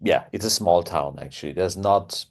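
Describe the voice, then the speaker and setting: A man's voice: deep voice, normal speed. A man from Austria, a guitarist guest, telling his story in a casual interview with many restarts and phrasing. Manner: hesitant, many restarts, non-native phrasing.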